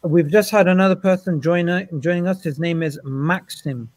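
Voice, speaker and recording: Man, moderator, high voice, joking, dry recording. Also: normal speed, darkish tone, no room sound. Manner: joking, breezy.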